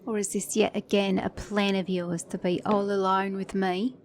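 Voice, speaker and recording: A woman's voice: mid-range voice, little pitch movement, normal speed, lightly acted. Flirty, sassy woman mechanic played by an actress. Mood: flirty, sly. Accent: British or Australian. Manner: teasing, intimate, addressing the listener as a date. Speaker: ASMR roleplay voice actor. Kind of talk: ASMR romance roleplay.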